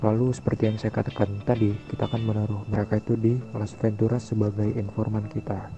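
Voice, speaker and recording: A man with a low, very flat delivery negotiates in a formal, measured way as a gangster roleplayer. His voice comes through dull online voice chat, with clear music playing behind.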